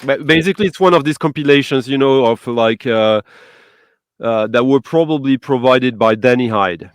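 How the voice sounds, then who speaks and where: A man's voice: medium pitch, moderate pace, smooth, no room sound. A man hosting a casual music chat, enthusiastic and searching for his words, with English that is not his first language. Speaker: host.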